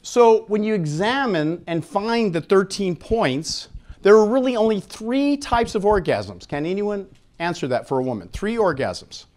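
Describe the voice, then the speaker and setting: Higher-pitched American man giving a lecture. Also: expressive, unhurried, a little mellow. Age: middle-aged. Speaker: speaker at an expo seminar. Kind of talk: public lecture with questions to the audience. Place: conference room at an expo, live audience. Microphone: handheld microphone.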